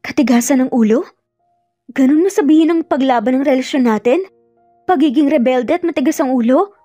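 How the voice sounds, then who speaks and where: A wounded, guarded young woman reproaches an old love in a dramatic romance tale, as a woman storyteller acts it. The voice is fairly high but mellow, over music. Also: brisk, dry recording, clean studio sound. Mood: reproachful.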